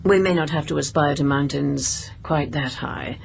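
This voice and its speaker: An actress playing a cold, menacing noble mother, speaking in measured, cryptic lines that sometimes sink to a whisper. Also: low-pitched, gravelly, a little hiss or hum. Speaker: princess. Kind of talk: radio drama acting.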